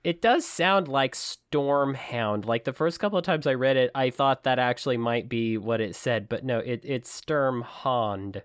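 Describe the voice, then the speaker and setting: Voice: medium pitch, a little slow, few pauses, dry recording, studio quality. A podcast co-host chatting casually about books. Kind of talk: casual book discussion chat.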